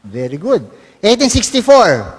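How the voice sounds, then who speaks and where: A man's voice: high-pitched, huge pitch swings, a little slow, husky. A man with a Filipino accent performs a comic stage dialogue as a teacher, questioning insistently and with some exasperation. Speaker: puppeteer comedian playing a teacher. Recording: echoey hall, faint music.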